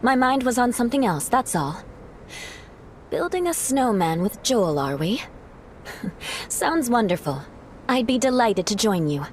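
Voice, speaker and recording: A woman's voice: on the high side, sing-song, measured pace, long pauses, slight background noise. A woman playing a refined, haughty but secretly kind young noblewoman knight, speaking formally in a video game. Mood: reserved, confiding.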